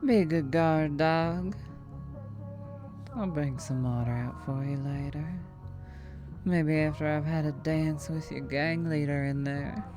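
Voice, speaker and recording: Woman playing a Southern vampire trucker, low-pitched, very slow teasing drawl, sweetly menacing, ASMR roleplay, music behind. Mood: playful menace. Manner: slow, teasing drawl with pet names and chuckles. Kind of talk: ASMR roleplay, intimate scripted acting.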